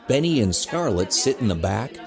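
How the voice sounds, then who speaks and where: A man's voice: mid-range voice, very animated, normal speed. A man reading scripted narration for an audio drama as its narrator. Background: music.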